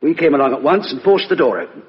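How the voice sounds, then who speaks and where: Police sergeant: British, orderly and respectful, varied intonation, reverberant vintage radio drama. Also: male voice, on the high side, sing-song, fast talker. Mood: dutiful. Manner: clipped, orderly reporting. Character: dutiful, deferential British police sergeant giving report. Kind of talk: 1950s radio drama acting. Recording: muffled, echoey 1950s radio recording.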